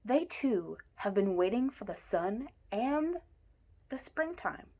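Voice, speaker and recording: A woman reading a children's picture book aloud in an expressive way, with deliberate emphasis. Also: fairly high pitch. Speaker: story reader.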